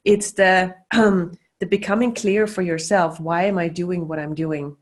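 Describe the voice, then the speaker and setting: A woman's voice: on the low side, steady tone, a little slow. A middle-aged woman, a facilitator talking loosely over a video call, full of fillers and restarts. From Austria. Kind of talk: casual teaching talk.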